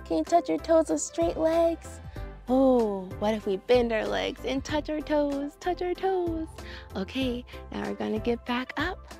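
A woman's voice: high-pitched, expressive, measured pace, creaky, mellow, soft background music. A young woman presenting a children's read-along, encouraging and exclamatory, talking directly to her young audience. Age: young adult. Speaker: children's story time host.

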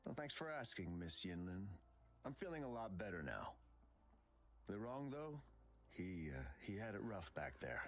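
An actor playing a polite, guilt-ridden former soldier in a video game, halting and subdued. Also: male voice, fairly low pitch, big pitch swings, fairly bright tone, faint music behind. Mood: hesitant. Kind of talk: English video game voice acting.

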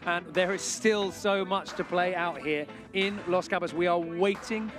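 A male host calls live sailing coverage from the shore with a fairly high voice. A clear music bed plays under his talk. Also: fairly even intonation, measured pace. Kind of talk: live sports commentary. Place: on the shore at a hot beach venue.

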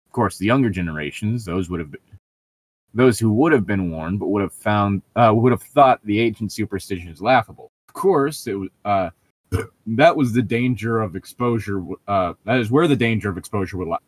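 A man's voice: on the low side, fairly quick, slightly rough, no echo. A man giving casual, mocking comedic commentary among co-hosts, swearing freely. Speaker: co-host.

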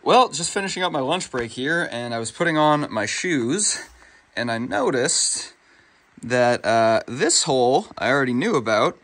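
A man's voice: medium pitch, expressive, moderate pace, fairly bright tone, no echo. A young man vlogging from a long-distance hike on a phone held at arm's length, talking in a wry, self-mocking way outdoors. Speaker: thru-hiker vlogger. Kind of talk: casual trail vlog to camera. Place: outdoors on a mountain trail.

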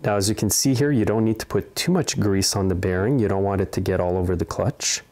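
Low-pitched middle-aged man giving repair instructions. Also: quick pace, darkish tone. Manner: plain-spoken, step-by-step instruction. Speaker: small engine repair host. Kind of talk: hands-on tutorial narration. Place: indoor repair workshop.

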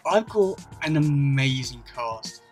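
A man's voice: mid-range voice, expressive, takes it slowly. A young man talking casually to camera as a YouTuber, enthusiastic but rambling and a little hesitant. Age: young adult. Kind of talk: casual vlog chat to camera. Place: small indoor room at home. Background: music playing.

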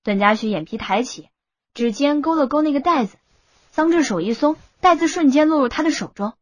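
A woman's voice: high voice, expressive, a little fast, no room sound. A woman reading a novel aloud as an audiobook narrator in scripted style. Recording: music bed under the voice.

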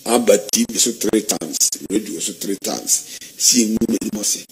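A middle-aged man from Ghana, a pastor, preaching impassioned to camera from an indoor office, swinging between hushed low warnings and loud high exclamations. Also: normal speed, a little hiss or hum, low-quality recording. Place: indoor office, seated in armchair.

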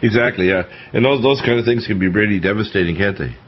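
Male panel host, deep voice, conversational but technical, dull lo-fi broadcast sound. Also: quick pace. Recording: dull lo-fi radio audio, faint noise.

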